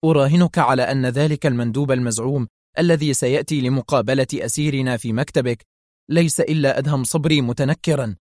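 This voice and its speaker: A man's voice: medium pitch, fairly quick, dry recording, studio quality, close to an everyday voice. A man voicing an insistent, suspicious general in a story, emphatic and urgent. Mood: firm, convinced. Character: excitable, insistent male general, suspicious and forceful. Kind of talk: dramatised audio story dialogue.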